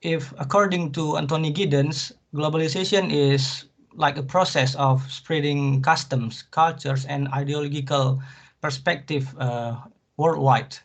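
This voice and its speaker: A man's voice: fairly high pitch, fairly even intonation, a little slow, creaky. A young man with an Indonesian accent, a master's student giving an academic presentation carefully with frequent fillers. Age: young adult. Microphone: video call. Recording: muffled video call audio.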